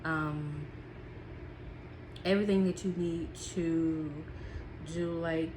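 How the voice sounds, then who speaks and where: Stuffy-nosed woman, low voice. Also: fairly even intonation, takes it slowly, background noise. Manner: chatty, with frequent "um" and repeats. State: stuffy, sniffling. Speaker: small business vlogger. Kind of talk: casual talk from notes.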